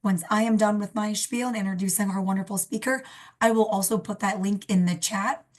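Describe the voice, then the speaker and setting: A young woman with a cold and a slightly raspy voice, hosting a webinar and reading announcements on a video call. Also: medium pitch, steady tone, brisk. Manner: friendly, scripted announcements. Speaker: program coordinator, webinar host. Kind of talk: webinar introduction. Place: indoor room, video call.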